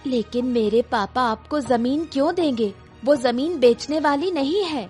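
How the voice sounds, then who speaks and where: A woman's voice: sits high, a little slow, a little mellow, soft background music. A woman voicing a sensible, outspoken young daughter of a poor farmer in a cartoon story, plain-spoken and earnest. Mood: firm.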